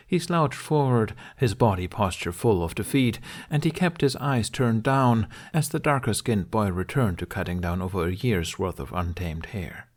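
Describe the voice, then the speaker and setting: A man's voice: lowish voice, expressive, normal speed, few pauses. A man narrating scripted fiction as an audiobook reader.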